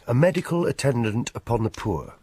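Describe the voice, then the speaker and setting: An actor playing a sardonic storybook narrator in a radio drama, with a husky voice and dramatic delivery. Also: male voice, mid-range voice, very animated, fairly quick, warm tone. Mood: ominous, wry.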